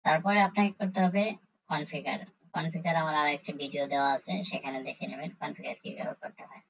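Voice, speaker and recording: Low, husky woman explaining step by step. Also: fairly even intonation, poor audio. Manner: halting, repetitive step-by-step explaining. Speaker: tutorial host. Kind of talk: informal technical tutorial.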